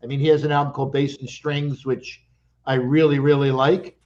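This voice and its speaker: A male panelist on an audio roundtable, speaking hesitantly and haltingly as he gives his opinion. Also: medium pitch, little pitch movement, darkish tone, highs cut off. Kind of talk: casual roundtable chat.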